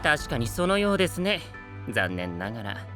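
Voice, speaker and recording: An actor playing a composed, coolly strict mentor knight with courteous formal speech in a Japanese anime voice drama. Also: male voice, mid-range voice, very animated, moderate pace, over music, close to an everyday voice. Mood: calm.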